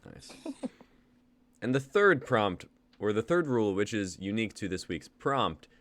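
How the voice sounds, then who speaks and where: A man's voice: lowish voice, very animated, unhurried, a bit bright, no echo. A young man in his twenties hosting a live script reading, laughing easily and reading the stage directions. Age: 20s. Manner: laid-back, laughing, uses y'all. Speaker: host and playwright.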